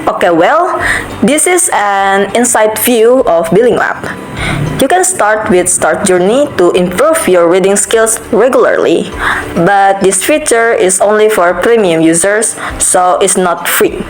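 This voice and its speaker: A woman's voice: mid-range voice, lively intonation, a little slow, faint music behind, low-quality recording. Young woman explaining steps. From Indonesia. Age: young adult. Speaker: student giving an app tutorial. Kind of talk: screen-recorded tutorial voice-over.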